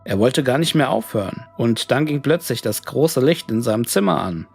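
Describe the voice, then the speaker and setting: A man's voice: mid-range voice, lively intonation, normal speed, creaky, breathy edge. A man reading a scripted horror story made up of written messages. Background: music.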